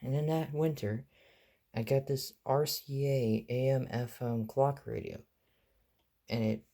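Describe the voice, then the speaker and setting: A man's voice: mid-range voice, warm tone. A man giving a casual, rambling show-and-tell as a hobbyist vlogger, offhand and full of detail.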